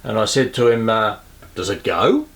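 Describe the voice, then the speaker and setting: A man casually explaining things as host of a hobby radio video, in an unhurried, understated, conversational way. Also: fairly low pitch, big pitch swings.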